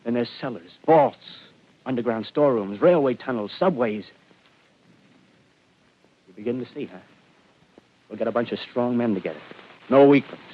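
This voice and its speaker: A man's voice: mid-range voice, moderate pace, pauses often, close to an everyday voice. A man doing a feverish, scheming ex-militiaman. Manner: rambling, feverish, swings between hushed and loud. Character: desperate male ex-militiaman scheming to survive the invaders. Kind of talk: 1930s radio drama acting. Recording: dull lo-fi vintage radio broadcast.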